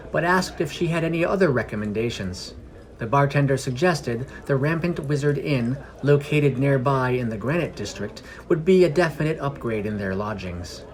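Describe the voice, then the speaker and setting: A man reading a scripted fantasy story in a measured storytelling manner. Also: mid-range voice. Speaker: podcast narrator. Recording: music under the voice. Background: music.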